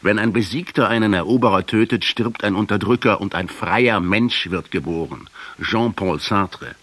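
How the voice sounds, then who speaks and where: An actor playing an accusing male intellectual in a radio drama, speaking in a deliberate, articulate way. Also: fairly low pitch, a bit bright, slightly rough, no room sound. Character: accusing male intellectual, professor quoting history at the commander.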